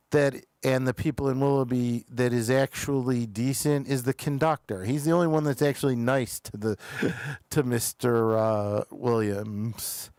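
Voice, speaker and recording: Slow-talking man hosting a review show. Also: mid-range voice, a little mellow, clear, resonant tone, dry recording. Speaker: show host reviewing TV episodes. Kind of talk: casual review chat.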